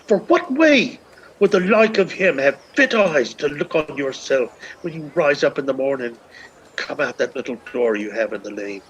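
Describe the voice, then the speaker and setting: A man's voice: medium pitch, expressive, moderate pace, fairly bright tone, slight background noise, lightly acted, low-quality recording. An actor playing a lovestruck, shabby old Irish beggar man in a radio play, speaking in long, poetic, pleading runs. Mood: bitter, yearning. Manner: poetic, long rolling pleas. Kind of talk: lyrical stage radio-play acting.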